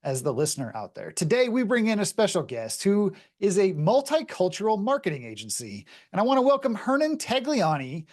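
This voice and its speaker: A man's voice: high voice, huge pitch swings, fairly quick, hardly any pauses, a little mellow. A man hosting a business podcast, introducing a guest and asking questions in an upbeat, emphatic manner. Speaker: podcast host. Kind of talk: interview hosting.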